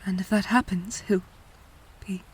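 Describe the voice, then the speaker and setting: A woman acting in an audio drama as a tender, anguished countess and adoptive mother, speaking in a halting, hushed way. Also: mid-range voice, steady tone, heavy background noise, lightly acted. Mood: pained, anxious.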